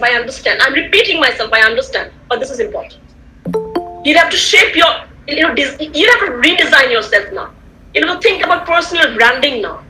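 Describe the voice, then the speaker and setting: Animated high-voiced woman lecturing students. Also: low-quality recording. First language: Tamil. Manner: animated, repetitive, rhetorical questions to listeners. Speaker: guest lecturer. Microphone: computer mic on a video call.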